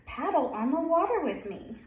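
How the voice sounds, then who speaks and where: A woman's voice: sits high, very animated, moderate pace, pitched above the performer's own voice. A woman playing a patient mother duck in a storybook, coaxing and reassuring. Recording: roomy echo.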